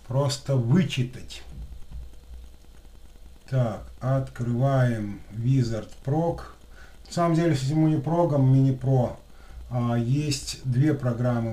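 Male repair technician, thirties, lisping, medium pitch, talking casually through his work in a roomy, noisy recording. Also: dark tone. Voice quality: lisping after dental work. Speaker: electronics repair technician and video host. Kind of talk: casual hands-on tutorial commentary. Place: small room at a desk, indoors. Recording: roomy echo with clear background noise.

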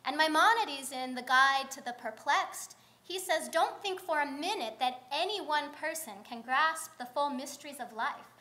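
A young woman rabbi delivers a measured sermon from a lectern, her voice high and clear. She reasons aloud and poses questions to the congregation. The sound is picked up at a distance in a large wood-paneled sanctuary. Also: expressive, sharp tone. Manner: thoughtful, measured preaching with rhetorical questions. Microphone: far fixed camera, lectern mic.

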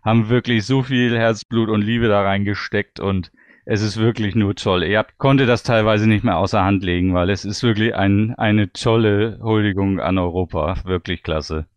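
A man with a fairly low, smooth voice chats as a podcast host. He sounds enthusiastic and emphatic, and the sound is close and dry. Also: fairly even intonation, moderate pace, no room sound. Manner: enthusiastic, often repeats "wirklich". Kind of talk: casual panel chat reviewing audio dramas.

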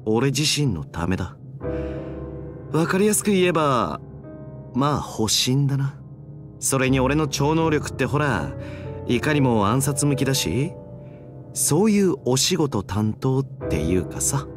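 Flippant, drawling young assassin. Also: male voice, mid-range voice, very animated, unhurried, pauses often, music in the background. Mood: cool confessing. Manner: drawling, slangy, teasing. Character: flippant, cynical young male assassin hiding guilt. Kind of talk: Japanese video game voice acting.

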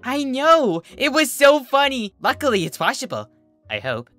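A narrator plays a bubbly young cartoon pony girl, pushed well up in pitch. She is excitable and caring, bright-toned, over music. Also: normal speed, no room sound, heavily acted. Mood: giggly.

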